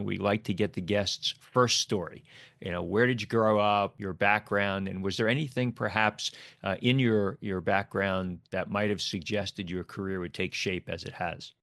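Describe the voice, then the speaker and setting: Easygoing low-voiced male podcast host. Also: steady tone, moderate pace, fairly bright tone, no room sound, studio quality. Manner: easygoing, filler-heavy questioning. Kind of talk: podcast interview.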